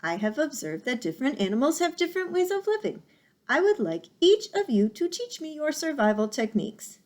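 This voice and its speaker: A woman voicing a calm, polite female robot that speaks in an even, measured way in a storybook. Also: high voice, voice pushed up.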